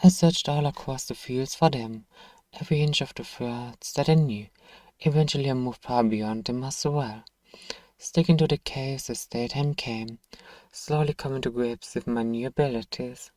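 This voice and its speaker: A man voicing a thousand-year-old vampire, nostalgic, tender and sorrowful, in a soft, measured ASMR role-play delivery that dips toward whispers. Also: medium pitch, no echo, far from the mic, lightly acted. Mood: haunted, wistful.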